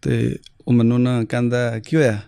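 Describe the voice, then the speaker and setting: A man's voice: medium pitch, level tone, normal speed, vocal fry, mellow, smooth, dry recording, clean studio sound. A young man telling folksy moral stories in casual chat, acting out the voices of the people in them. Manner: folksy, acts out quoted voices in his tales. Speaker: storyteller guest.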